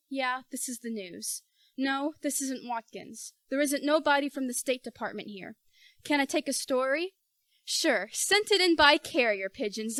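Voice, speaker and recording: A woman's voice: high voice, bright, forceful tone, dry recording. A teenage girl playing a brash, wisecracking woman reporter with a sarcastic, slangy delivery in an amateur radio play. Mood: sarcastic, impatient.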